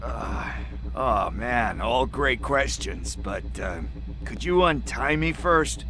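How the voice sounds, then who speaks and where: An actor playing a shifty, wisecracking captive police informant in a video game, hesitant and wry. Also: male voice, mid-range voice, expressive, slow pace. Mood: nervous, wry. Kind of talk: video game cutscene acting.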